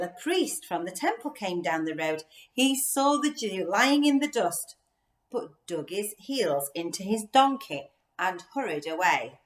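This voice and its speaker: A woman who works with children at a church, reading a story aloud and explaining it simply. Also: mid-range voice. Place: inside a house. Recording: echoey room.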